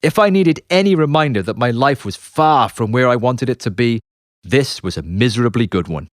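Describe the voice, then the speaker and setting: A man reading his own memoir as an audiobook, in an expressive, confessional storytelling manner with dramatic pauses. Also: medium pitch, dry recording, studio quality. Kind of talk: scripted memoir narration. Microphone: close, dry studio narration mic.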